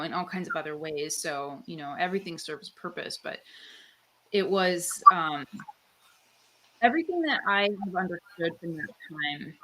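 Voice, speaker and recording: Low-voiced reflective Canadian woman. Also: sharp tone, low-quality recording. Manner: reflective, many fillers like "like" and "um". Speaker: guest author. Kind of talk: casual conversational interview. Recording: faint background noise.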